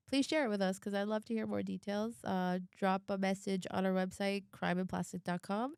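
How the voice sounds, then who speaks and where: A woman chats casually as a podcast host. Her voice is very clear and smooth, at a middle pitch. The sound is close and dry, with little room around it. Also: fairly bright tone, dry recording.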